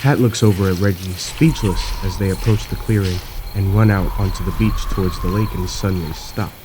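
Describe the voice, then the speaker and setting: A man's voice: low voice, a little fast, noisy background, lightly acted. A man playing a solemn storyteller, narrating a scripted horror comedy audio drama. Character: solemn storyteller introducing monster hunter tales.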